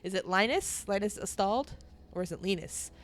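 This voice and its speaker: A woman's voice: medium pitch, animated, normal speed. A young woman streaming a video game, nasal from a cold, chatting in a rambling way with viewers. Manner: chatty, rambling, laughs often. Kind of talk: casual chat while playing.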